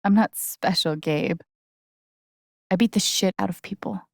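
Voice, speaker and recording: Actress as guarded young woman, creaky casual voice. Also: medium pitch, unhurried, no room sound, studio quality, close to an everyday voice. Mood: bitter, self-critical. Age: young adult. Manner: casual, hesitant, understated. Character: guarded, wry young woman, self-doubting and sincere. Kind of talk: naturalistic video game voice acting.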